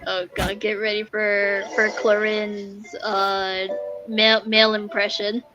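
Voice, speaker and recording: A woman's voice: mid-range voice, flat delivery, takes it slowly, muffled recording, music in the background. A young woman chatting casually over gameplay as a streamer, informal and easily amused. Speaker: streamer reacting to a game.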